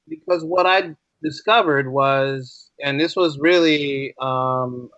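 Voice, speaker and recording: Male interview guest, medium pitch, conversational explainer, close dry sound that is a little lo-fi. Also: a bit bright, no echo. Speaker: podcast guest, ESL teacher. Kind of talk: casual interview chat.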